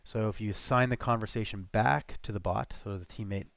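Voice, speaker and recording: Male demo presenter, lowish and fry-heavy, informal and halting screencast delivery, dry recording. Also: fairly even intonation, a bit bright, no echo. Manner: casual, halting, thinking aloud. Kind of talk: informal screencast product walkthrough.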